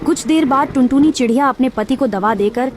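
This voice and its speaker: A woman tells a children's cartoon story in a steady, even voice that sits a little high. Background music plays clearly under her narration. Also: fairly quick.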